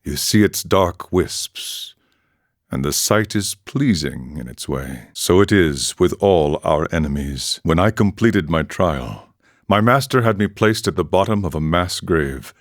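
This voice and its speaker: A man voicing a calm, ascetic warrior monk in a video game, speaking in a measured, solemn, philosophical way. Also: sits low, no room sound, clean studio sound. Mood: solemn, serene. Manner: measured, solemn, aphoristic storytelling. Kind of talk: video game character dialogue.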